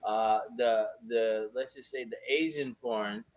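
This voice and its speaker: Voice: mid-range voice, unhurried, no room sound. A person on a live talk show, chatting casually and stumbling over repeated words, heard over a muffled lo-fi line. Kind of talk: casual chat.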